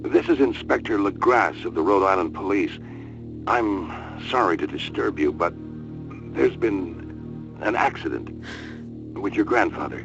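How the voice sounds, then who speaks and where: Game police inspector: deep voice, formal and courteous, measured delivery, music behind. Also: male voice, lively intonation, gravelly, dull audio, heavily acted. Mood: apologetic, grave. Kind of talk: video game cut scene acting.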